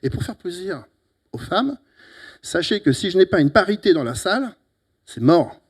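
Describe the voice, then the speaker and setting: A middle-aged man from Italy with an Italian accent, giving a lively, teasing closing talk at a conference on a handheld mic, with sudden loud emphatic bursts. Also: high-pitched, moderate pace, breathy edge. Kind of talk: lively, joking conference speech. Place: conference hall. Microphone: handheld microphone.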